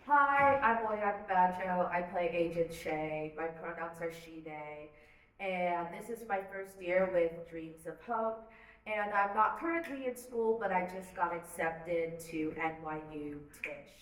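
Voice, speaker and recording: A woman cast member introducing herself in a measured, deliberate way. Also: fairly low pitch, slight background noise. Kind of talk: casual self-introduction. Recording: distant mic, echoey hall.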